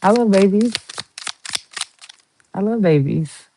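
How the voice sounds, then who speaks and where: A woman's voice: medium pitch, takes it slowly, no room sound, rough recording. Chatty Southern woman reading tarot. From Southern United States. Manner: chatty, rambling, playful and lively. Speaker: tarot reader.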